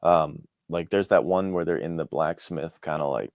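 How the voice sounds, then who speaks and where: A man with a low voice speaks as a guest in a relaxed interview. His talk is informal and keen, and the sound is dry and close. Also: moderate pace, no room sound. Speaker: guest, indie author. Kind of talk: casual interview chat.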